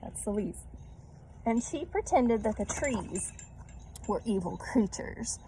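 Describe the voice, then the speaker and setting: A woman's voice: fairly high pitch, lively intonation, takes it slowly, mellow, slight background noise, poor audio. A woman reading a children's story aloud, adding chatty asides and little questions to her listeners. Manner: checks in with listeners using tag questions.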